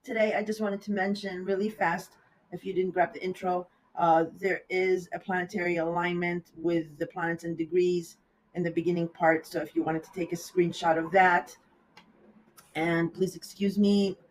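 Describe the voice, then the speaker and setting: An astrologer with a raspy, hoarse voice from a cold, talking through a horoscope in a loose, rambling way, with the audio sped up. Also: mid-range voice, little pitch movement, normal speed, slight background noise, rough recording. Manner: rambling, talks loosely with asides. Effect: audio sped up in editing. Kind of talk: casual horoscope reading.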